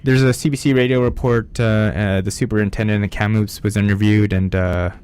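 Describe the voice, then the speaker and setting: A man's voice: on the low side, steady tone, fairly quick, a little mellow, slight background noise. A man hosting a community radio show, asking questions and joking with his guest. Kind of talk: casual radio interview. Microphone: radio studio microphone.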